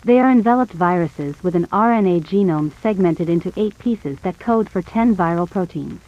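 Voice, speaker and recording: Lowish woman narrator, slightly dull sound. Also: moderate pace, mellow. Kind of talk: scripted educational narration.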